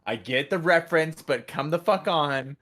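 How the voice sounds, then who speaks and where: A man's voice: a little high, fairly bright tone. Rambling American man chatting. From United States. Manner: rambling, halting, enthusiastic with repeated words. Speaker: podcast co-host. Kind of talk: casual chat about a TV show.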